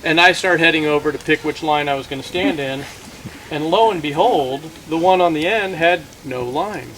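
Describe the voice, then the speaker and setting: A middle-aged man telling an informal comic story to a group, acting out the dialogue in an animated way. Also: fairly high pitch, normal speed. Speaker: campfire storyteller. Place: outdoors among a gathered crowd. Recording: noisy outdoor recording with audience chatter. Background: audience sounds, outdoor ambience.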